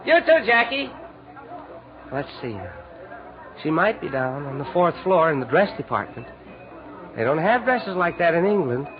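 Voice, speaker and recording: An actor in a 1940s radio drama playing a warm, earnest, lovestruck department store manager with an expressive delivery. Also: male voice, a little high, moderate pace, pauses often, music in the background. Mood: friendly, brisk. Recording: dull 1940s radio recording.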